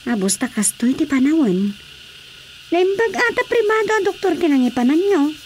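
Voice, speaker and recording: A woman's voice: high-pitched, big pitch swings, moderate pace. A woman with an Ilocano accent playing a talkative, concerned village neighbour in a radio drama comedy. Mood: opinionated. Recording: faintly noisy radio drama track.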